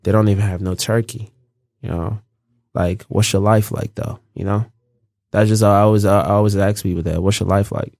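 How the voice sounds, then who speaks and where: A man's voice: fairly low pitch, fairly even intonation, normal speed, vocal fry, a little mellow, dry recording, studio quality. A young man from Los Angeles, a guest in a casual interview, talking in a laid-back, rambling way with lots of fillers and restarts.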